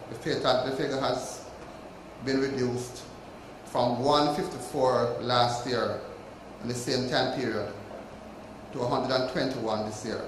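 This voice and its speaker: A man with a Jamaican accent delivers a formal prepared speech in a measured, emphatic way. His voice bounces around an echoey hotel function room, with faint ambience underneath. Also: mid-range voice, background noise. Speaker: ceremony speaker.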